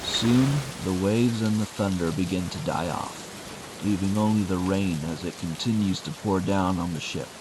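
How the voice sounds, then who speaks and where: A man's voice: low voice, normal speed, noisy background. A man narrating an adventure audio drama in a scripted, dramatic storytelling style. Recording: rain and music under the voice. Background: music and rain.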